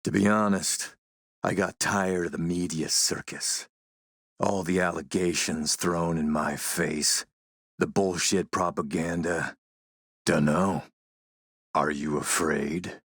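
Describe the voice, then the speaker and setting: A man playing a suave video game warlord, coolly polite and mocking, with a measured, sardonic menace. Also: low-pitched, fairly even intonation, a bit bright, slightly rough, no room sound, clean studio sound, full character voice. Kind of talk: video game villain acting.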